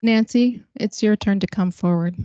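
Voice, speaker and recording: A woman chairing a council meeting, briskly and informally moving speakers along. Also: medium pitch, dark tone, no room sound. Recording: dull lo-fi council chamber mic.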